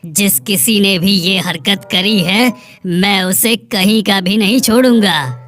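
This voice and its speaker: A woman's voice: mid-range voice, slow pace, fairly bright tone, soft background music. A woman voicing a stern, vengeful leopard king of the jungle in a cartoon moral story, speaking with a commanding manner. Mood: remorseful, vengeful.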